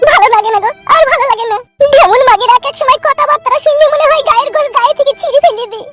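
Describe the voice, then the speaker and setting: A woman's voice: extremely high pitch, very fast. A woman playing a long-suffering village wife in a comic cartoon, plaintive and complaining. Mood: aggrieved, tearful.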